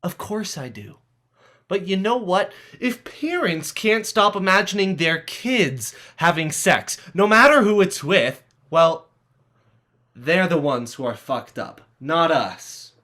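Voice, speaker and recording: A man's voice: high-pitched, animated, slow, sharp tone, heavily acted. A man playing an earnest, newly confident teenage boy in a play script reading. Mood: defiant, earnest.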